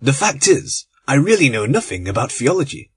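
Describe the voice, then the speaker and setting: Man voicing well-mannered young English lord; earnest, lively pitch. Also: moderate pace, husky. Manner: polite, earnest.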